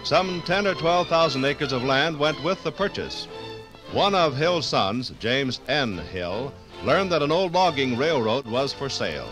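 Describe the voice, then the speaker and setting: A man narrating a scripted 1950s radio documentary in a formal, dramatic, measured way. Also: mid-range voice, expressive, over music, poor audio.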